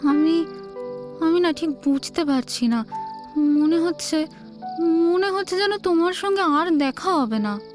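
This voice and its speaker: An actress playing a gentle, tender young wife in an audio drama, soft and hesitant in her speech. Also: very high-pitched, expressive, slow, heavily acted. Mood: anxious. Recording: audio drama with clear background music.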